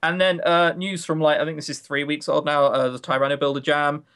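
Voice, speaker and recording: A man's voice: on the high side, little pitch movement, fairly quick, a bit bright, smooth. A man co-hosting a podcast in casual, opinionated chat, grumbling, sarcastic and given to swearing. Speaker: podcast co-host.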